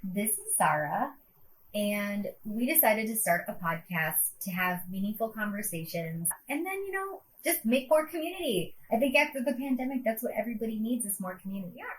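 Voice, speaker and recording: A woman's voice: medium pitch, expressive, a little fast, slight background noise, rough recording. A woman co-hosting a casual podcast, a teacher talking in an upbeat, chatty way with plenty of filler words.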